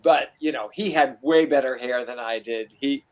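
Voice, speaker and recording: A man chatting at a reunion and telling animated stories about old castmates, acting out what people said. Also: mid-range voice, moderate pace. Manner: animated storyteller, acts out quotes. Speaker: guest, former performer. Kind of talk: casual reunion chat.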